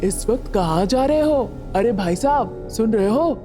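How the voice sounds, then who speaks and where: A woman's voice: on the high side, expressive, unhurried, dark tone, soft background music. A woman voicing an earnest, plain-spoken village man in a storybook tale. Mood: concerned. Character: earnest village men: vendor, helpful neighbours.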